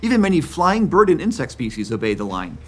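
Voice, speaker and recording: A man in his fifties giving scripted, clear documentary narration about science. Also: on the high side, huge pitch swings, quick pace, slight background noise. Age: 50s. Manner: clear, explanatory.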